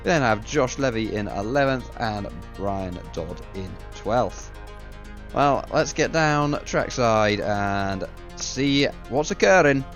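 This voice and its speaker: A man talks through a sim racing league race in a casual, dry, self-mocking way. He is a bit under the weather, so his voice sits deeper than usual, though still mid-range for a man. Faint music plays underneath. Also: animated, slow pace, dull audio.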